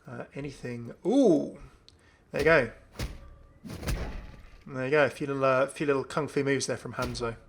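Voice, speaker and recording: Male gaming video host, enthusiastic and casual, medium pitch with noticeable vocal fry. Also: normal speed, long pauses, slight background noise. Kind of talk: casual commentary on a game update.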